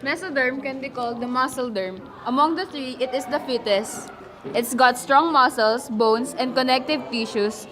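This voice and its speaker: A woman's voice: sits high, moderate pace, fairly bright tone. A woman playing a nurse who teaches science in a scripted puppet show. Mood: explaining. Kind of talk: scripted educational puppet dialogue.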